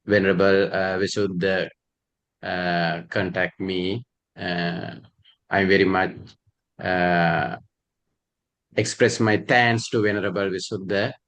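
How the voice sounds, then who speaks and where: A man from Sri Lanka introducing himself as a panelist on a video call, halting with many hums and fillers. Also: low voice, little pitch movement, fairly bright tone. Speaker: panelist, university lecturer.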